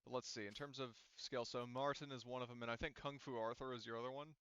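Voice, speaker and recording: A man's voice: mid-range voice, fairly even intonation, quick pace, fairly bright tone, smooth, no echo, dull audio. A man running a tabletop game as game master, talking casually and informally with the players.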